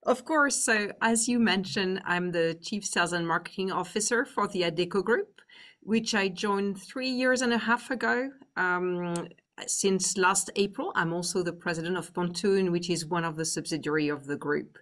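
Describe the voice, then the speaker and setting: Woman, 50s, French accent; podcast guest, reflective, pausing now and then. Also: fairly low pitch, fairly bright tone. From France. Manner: thoughtful, reflective, frequent "um" pauses. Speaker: guest executive. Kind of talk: interview conversation.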